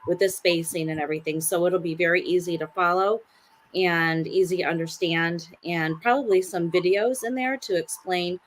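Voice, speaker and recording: A woman's voice: fairly low pitch, steady tone, normal speed. A woman on a casual group chat, steadily explaining plans and quoting the back-and-forth with others. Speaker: panelist on a sisters' chat show.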